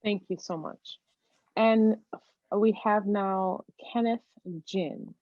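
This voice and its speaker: A woman moderating a candidate forum over a video call, slow and polite as she introduces each speaker. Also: medium pitch, fairly even intonation, dry recording, highs cut off.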